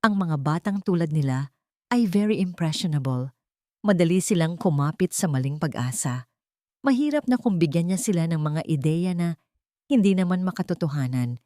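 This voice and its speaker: An audio drama doctor and aunt, acted out by a woman narrator, speaking. She is measured, doubtful and falsely gentle, insinuating under a show of concern. The sound is close and dry. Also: on the low side, animated, dry recording, natural voice. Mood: feigned concern.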